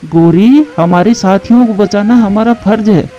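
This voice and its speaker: A man's voice: sits high, unhurried, dark tone, highs cut off, soft background music. A man voicing a helpful, dutiful crow in a children's cartoon fable, speaking earnestly and emphatically. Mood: urgent, heroic. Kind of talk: Hindi cartoon voice acting.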